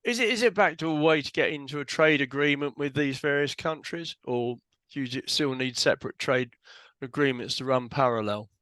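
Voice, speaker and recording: A man's voice: mid-range voice, a little fast, a bit bright, clear, resonant tone. An older man hosting an interview over a video call on a headset, putting brief questions to his guest. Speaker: host.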